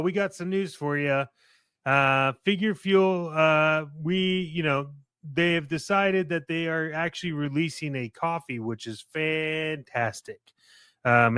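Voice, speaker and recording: A man's voice: fairly high pitch, a bit bright, smooth, dry recording. A man hosting a radio-style podcast in a conversational, salesy way, moving between casual banter and upbeat sponsor reads on a close mic. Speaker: podcast and radio show host.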